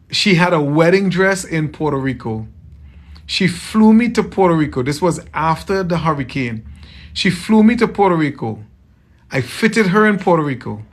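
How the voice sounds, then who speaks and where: A man from Trinidad with a softened Trinidadian accent, chatting casually with viewers on a livestream as a tailor, friendly and often repeating himself. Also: fairly high pitch. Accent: Trinidadian, softened toward American. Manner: friendly, repeats phrases, greets viewers by name.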